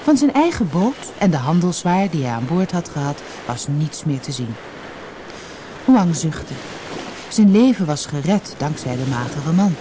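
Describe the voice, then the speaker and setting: Animated storybook narrator on noisy cassette. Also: medium pitch, measured pace. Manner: expressive, dramatic storytelling. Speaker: audiobook narrator. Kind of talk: scripted storybook narration for an audiobook. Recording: noisy old cassette audiobook transfer.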